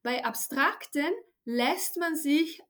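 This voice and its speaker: A middle-aged woman from Italy, native in Italian, speaks in a rather high voice. She explains like a teacher being interviewed, stressing key points and checking in with short tag words. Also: slow pace, fairly bright tone. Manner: emphatic, didactic, often adds tag "ja". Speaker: neuroscientist and language teacher, expert guest.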